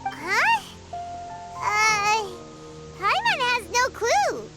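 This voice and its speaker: A woman's voice: huge pitch swings, drawn-out words, full character voice. A woman voicing a small, chatty female fairy companion in a video game, whiny and exasperated, who talks about herself in the third person. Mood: puzzled. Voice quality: very high, squeaky. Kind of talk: game cutscene voice acting. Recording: game audio with loud music behind.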